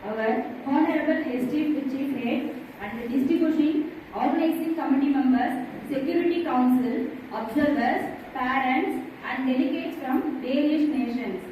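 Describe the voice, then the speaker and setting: A woman's voice: high voice, a little slow, darkish tone. A woman with an Indian English accent formally reading a welcome address at a school conference. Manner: formal, reading a speech aloud. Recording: very echoey hall, from far off, faint noise.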